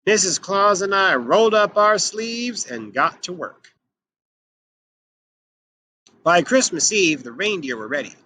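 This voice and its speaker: A man reading a children's picture book aloud in an expressive, emphatic way. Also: sits high, takes it slowly, pauses often, no echo. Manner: animated, emphatic delivery. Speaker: children's storybook reader.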